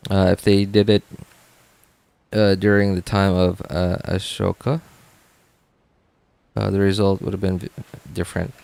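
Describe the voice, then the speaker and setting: Low, gravelly, unhurried male game streamer. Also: level tone, darkish tone, dry recording. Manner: rambling, thinking aloud, repeats words. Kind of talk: casual livestream chat while playing.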